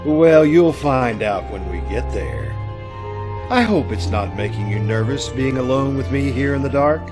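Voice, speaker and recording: A man playing a sinister, teasing storyteller of eerie tales in an old-time radio horror play, slyly ominous and deliberate. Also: mid-range voice. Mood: sly menace.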